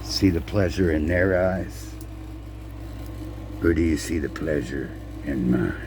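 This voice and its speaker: A man reading a children's picture book aloud in a halting way, repeating words and slipping in playful asides to the listener. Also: extremely low pitch, noisy background. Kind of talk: storybook read-aloud with casual asides.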